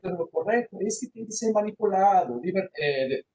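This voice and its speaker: Halting man lecturing online. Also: high-pitched, animated, dark tone, a little hiss or hum, low-quality recording. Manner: hesitant, many fillers and restarts; mixes in Portuguese words. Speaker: university lecturer. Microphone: computer microphone on a video call.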